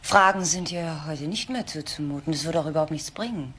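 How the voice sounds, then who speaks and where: An actress playing an earnest, idealistic social worker in a crime radio drama, protective and insistent. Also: female voice, deep voice, fairly quick, a bit bright, slight background noise. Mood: firm, defensive.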